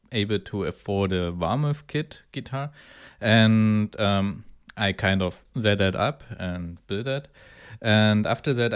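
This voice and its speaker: A man talking casually to camera about a personal hobby project, thinking aloud and correcting himself as he goes. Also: on the low side. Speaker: hobby guitar builder presenting own project.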